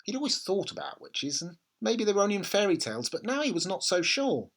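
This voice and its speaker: A reader narrating a children's story in an expressive, dramatic and suspenseful style. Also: mid-range voice, a little fast.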